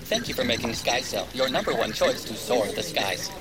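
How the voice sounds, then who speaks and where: A man's voice: mid-range voice, sing-song, normal speed, heavy background noise, lightly acted. A man playing a courteous, rule-bound robot attendant who speaks in formulaic customer-service phrases in an audio drama. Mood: obliging, chirpy.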